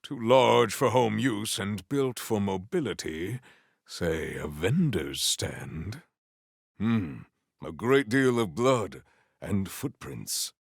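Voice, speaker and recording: A stern, honorable warrior for a video game. His low voice moves slowly but with broad, theatrical swings of intonation. He phrases everything formally and grandly, with deadpan earnestness. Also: gravelly, no room sound, studio quality, full character voice. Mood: musing.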